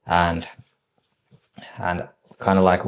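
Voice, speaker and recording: A man with a very deep, level voice asks long, loosely built questions, filling them with "like" and restarting his sentences. His talk is casual and unpolished. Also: monotone, takes it slowly. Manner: rambling, heavy use of "like" and restarts. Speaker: podcast host, interviewer.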